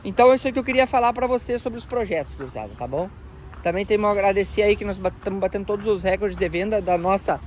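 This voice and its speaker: Guy, real high voice, chatty, keeps saying tá bom, light traffic noise outside. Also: a bit bright. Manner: chatty, repeats "tá bom, meus amigão". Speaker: truck shop owner running a raffle. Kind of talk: casual promo talk to followers.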